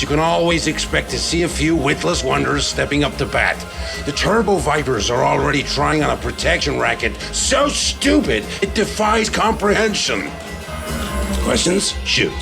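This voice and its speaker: Gravelly, cynical gangland boss. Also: male voice, fairly high pitch. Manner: sardonic, contemptuous, brusque. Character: gruff, cynical, foul-mouthed male crime boss running a slum district. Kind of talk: video game cutscene acting.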